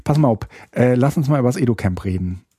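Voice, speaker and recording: A man co-hosts a casual podcast, thinking aloud in long, winding sentences full of fillers and restarts. His voice is mellow and breathy-husky. The sound is dry and close. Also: mid-range voice, expressive, brisk, no echo. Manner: hesitant, many fillers and restarts. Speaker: podcast co-host. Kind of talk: casual chat.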